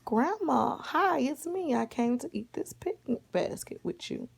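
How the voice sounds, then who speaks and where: A woman's voice: sits high, big pitch swings, measured pace, creaky, husky, voice pushed up, exaggerated voice. A woman playing an innocent young girl in a storybook tale, earnest and full of wonder.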